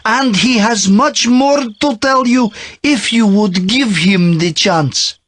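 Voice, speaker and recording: An actor playing a kindly older male priest who talks in a gentle, paternal way. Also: sits high, slow pace, heavily acted.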